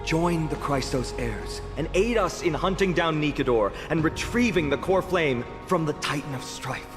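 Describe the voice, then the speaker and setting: A man's voice: fairly high pitch, moderate pace, lightly acted. Man acting earnest young hero in cavernous reverb. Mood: resolute. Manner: solemn, formal, heartfelt. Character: earnest young male hero, sworn to a prophecy. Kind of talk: video game voice acting. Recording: long reverb, music behind.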